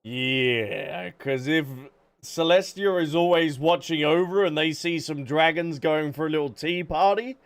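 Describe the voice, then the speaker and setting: A man's voice: on the high side, unhurried, clear, resonant tone. A man giving casual, chatty livestream commentary over a video game, with jokey asides. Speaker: game streamer. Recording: game music behind voice.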